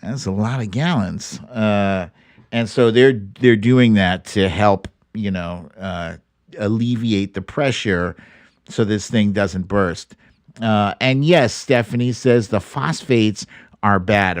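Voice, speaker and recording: A man with a fairly low voice hosts a casual livestream. He talks in a wry, informal, stop-and-start way, at an easy pace in a dry, close room. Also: no echo. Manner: informal, wry, halting delivery with occasional swearing. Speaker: livestream host. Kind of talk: casual live commentary, reading viewer comments.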